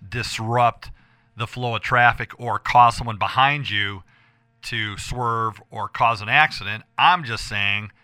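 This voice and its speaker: An American man hosting a casual radio show with a hoarse voice, talking in a rambling, emphatic way and repeating himself as he addresses listeners. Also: mid-range voice, a little slow, pushed and bright, dry recording, clean studio sound. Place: radio studio.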